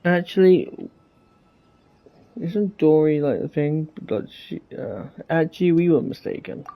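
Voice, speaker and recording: A man's voice: fairly high pitch, animated, a little slow, vocal fry, highs cut off. A man giving informal, rambling commentary while streaming a game. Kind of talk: casual gameplay commentary.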